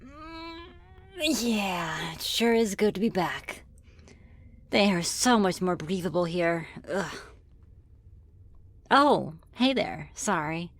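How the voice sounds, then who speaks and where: A woman's voice: lowish voice, sing-song, slow, pauses often, heavily acted. A woman voicing a friendly, teasing female demon in an ASMR roleplay, with casual, politely menacing delivery. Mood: relaxed, curious. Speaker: roleplay voice performer. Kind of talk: ASMR roleplay, scripted one-sided dialogue.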